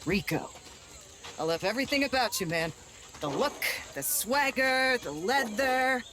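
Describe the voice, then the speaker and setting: A man's voice: extremely high pitch, animated, sharp tone, full character voice. An actor playing a flamboyant male film director in a game cutscene, theatrical and gushing. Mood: flattering showman. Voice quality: theatrical, gushing, fast-shifting. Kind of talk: video game cutscene voice acting.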